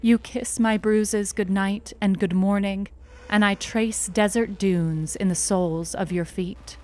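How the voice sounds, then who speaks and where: Slow-paced woman reading literary fiction. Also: medium pitch, steady tone, a little mellow. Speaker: short story narrator. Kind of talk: literary audiobook narration, intimate first person.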